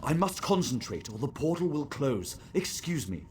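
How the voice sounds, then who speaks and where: Casting: worried, preoccupied male mage for a fantasy game, expressive pitch, rumbling noise behind. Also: moderate pace. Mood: anxious, earnest. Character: worried, focused male mage seeking his missing father. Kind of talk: fantasy video game character acting.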